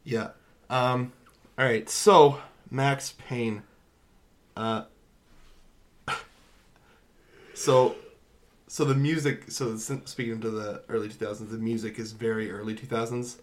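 Regular guy voice, medium pitch, blunt casual podcast chat about movies. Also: steady tone, takes it slowly, pauses often. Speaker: podcast co-host.

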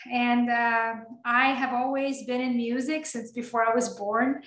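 A higher-pitched woman talks with eager, high energy as a guest on a radio arts program. Her speech runs quick and passionate, with frequent ums. The voice sits in a roomy, echoing space. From Russia. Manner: energetic, enthusiastic, many fillers. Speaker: guest pianist. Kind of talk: radio interview.

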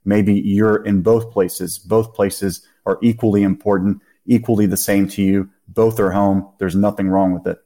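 A man from Bulgaria speaks in a low, mellow voice in a casual podcast chat. He is relaxed and searching, with frequent ums. His tone stays warm. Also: normal speed, few pauses. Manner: relaxed, searching, many fillers.

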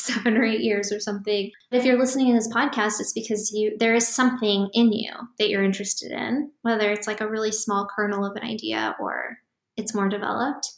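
Woman founder on a podcast, calm steady voice, kinda flat, echoey room. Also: mid-range voice. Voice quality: calm, even-keeled voice. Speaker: startup founder guest. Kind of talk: reflective podcast interview answers.

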